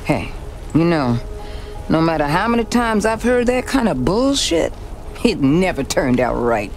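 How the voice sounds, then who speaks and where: A woman's voice: sits low, very animated, unhurried, exaggerated voice. A woman acting a tough, world-weary frontier woman in a video game, plain-spoken and sardonic. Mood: dry, frank. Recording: loud music behind.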